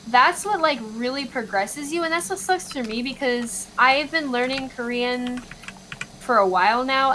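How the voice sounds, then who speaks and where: A woman's voice: high-pitched, pushed and bright, slight background noise. A woman in her twenties chatting casually, explaining things and laughing easily. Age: 20s. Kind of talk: casual chat.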